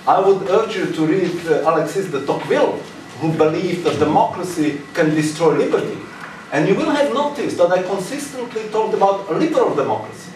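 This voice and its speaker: A middle-aged man with a fairly high voice argues emphatically as an academic on a panel. He is recorded from a distance in a roomy space, with slight noise. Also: darkish tone. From Czechoslovakia. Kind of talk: answering questions in a panel discussion. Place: seminar room, indoors. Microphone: far camera.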